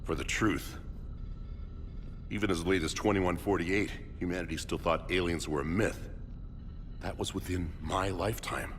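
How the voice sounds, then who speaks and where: Deep-voiced, earnest male scientist, played by an actor. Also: fairly even intonation, slight background noise, lightly acted. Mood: grave. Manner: measured, intent, explanatory. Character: earnest, driven senior male scientist, space-age doctor. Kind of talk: dramatic video game dialogue.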